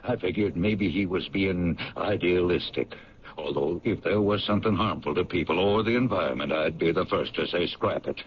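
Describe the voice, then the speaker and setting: A deep-pitched, blunt businessman played by a male radio actor, practical and exasperated, speaking colloquially. The vintage drama recording is dull with faint noise. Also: moderate pace, natural voice. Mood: frustrated, explaining. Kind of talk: old radio drama acting. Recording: muffled, noisy 1970s radio recording.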